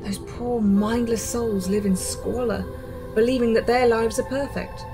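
Grim rebel insider, music behind. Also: female voice, a little high, steady tone, measured pace. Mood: grave. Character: earnest rebel woman, grim and disillusioned ex-insider. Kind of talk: radio drama acting. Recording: music bed under the voice.

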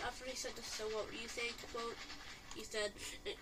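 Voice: medium pitch, level tone, brisk. A female co-commentator chatting casually during a game, often questioning and interjecting. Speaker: gaming co-commentator. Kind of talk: casual gaming chat. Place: indoor room, filming a TV screen. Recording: noisy room recording with clicking.

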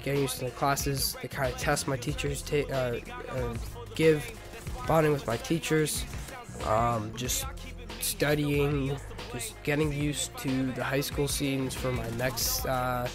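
A teenage male, slightly sick, giving casual, rambling and halting gameplay commentary as host of a gaming video. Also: mid-range voice, fairly even intonation. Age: teenager, about 15. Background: music.

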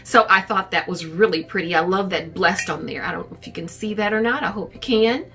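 A woman's voice: on the low side, a little fast, bright, forceful tone. A middle-aged woman chatting casually to camera as a vlogger, recorded indoors in a room at home. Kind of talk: casual shopping haul chat to camera.

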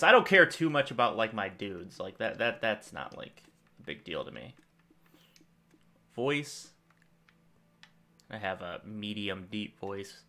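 A young man hosting a gaming channel, thinking aloud in rambling casual commentary while he plays, recorded at a desk in a small room at home. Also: lowish voice. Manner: rambling, thinking aloud, lively swings in loudness.

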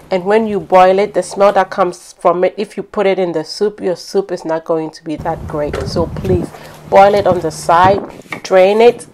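Woman, Ghanaian accent, slightly low pitch, conversational cooking narration, explanatory, home cook host. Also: slight background noise, poor audio. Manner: explanatory, chatty storytelling.

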